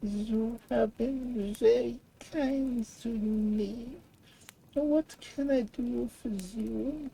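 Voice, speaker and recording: A storyteller voicing a mournful, grateful dragonfly in a weak, thin, slow and drawn-out voice. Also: mid-range voice, gravelly, warm tone, slight background noise, voice pushed up, poor audio.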